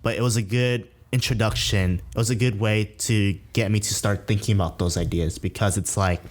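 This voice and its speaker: A man's voice: lowish voice, steady tone, fairly quick. A young man hosting a podcast in a casual, chatty way. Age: young adult. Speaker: podcast host. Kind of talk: casual chat.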